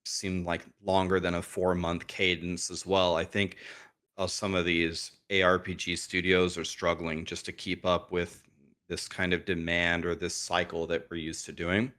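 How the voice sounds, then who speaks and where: Man, very low voice, hoarse, candid, casual podcast guest. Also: completely flat delivery, moderate pace, fairly bright tone. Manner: frank, thinks aloud with restarts. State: hoarse, losing his voice. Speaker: guest streamer.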